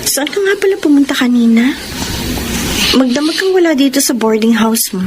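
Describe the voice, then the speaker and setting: Jealous girlfriend, swooping pitch. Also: expressive, moderate pace, noisy background. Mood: probing. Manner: suspicious, probing, mocking. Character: jealous, vain, catty young girlfriend. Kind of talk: Tagalog radio drama acting.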